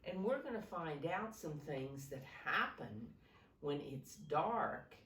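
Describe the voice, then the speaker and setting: A woman with a low voice reads and explains to small children like a preschool teacher. Her pitch moves expressively as she takes her time and asks simple questions. The sound is roomy and a little distant from the mic. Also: lively intonation, slow pace, fairly bright tone, a little hiss or hum. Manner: simple, instructive, often questioning the listeners. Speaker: preschool teacher reading aloud.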